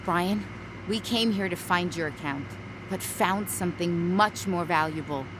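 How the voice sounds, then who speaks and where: An actress voicing an awkward, earnest teenage girl in an animated sitcom, with a flat, sincere delivery. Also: fairly low pitch, a little slow, noisy background, lightly acted.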